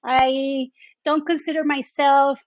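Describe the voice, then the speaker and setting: High-voiced Mexican woman, effusive. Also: flat delivery, slow pace, no echo, lo-fi sound. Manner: effusive, enthusiastic, repeats "I love". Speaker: podcast guest. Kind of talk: conversational interview.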